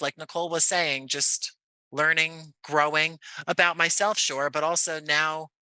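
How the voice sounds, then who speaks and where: An American man talking conversationally as an interview guest, choosing his words in an articulate, measured way. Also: a little high, bright, forceful tone, clean tone, no room sound, low-quality recording. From Jersey City, United States. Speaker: podcast guest, audio play creator.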